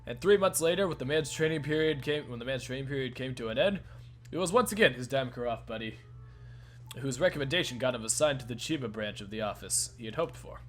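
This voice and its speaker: A man's voice: mid-range voice, expressive, quick pace. A man hosting a let's play, reading a visual novel's narration aloud and joking profanely with friends. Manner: laughs often, casual and profane between lines.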